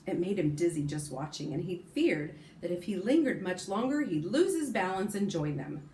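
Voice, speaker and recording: Low-voiced woman teacher reading aloud. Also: normal speed, reverberant, slight background noise. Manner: expressive, stops to comment and correct herself. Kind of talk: reading a novel aloud to a class.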